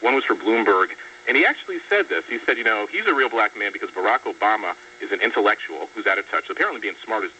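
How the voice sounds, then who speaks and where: A man's voice: fairly low pitch, expressive, quick pace, bright, forceful tone. A man giving political commentary as a radio guest, articulate, sardonic and incredulous. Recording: dull, distant radio audio with faint hum.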